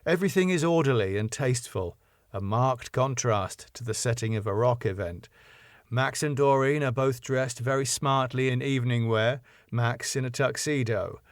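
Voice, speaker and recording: A man narrating the stage directions of a sitcom script in a plain, measured way. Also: medium pitch, no room sound, clean studio sound.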